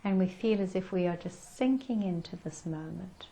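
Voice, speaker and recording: A woman leading a guided meditation in a gentle, soothing manner with unhurried pauses. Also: fairly low pitch.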